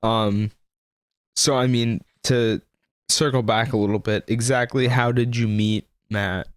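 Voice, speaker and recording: A man's voice: medium pitch, a little slow, dry recording. Easygoing young male podcast host, dry sound. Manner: relaxed, laughs easily, casual swearing. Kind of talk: casual interview chat.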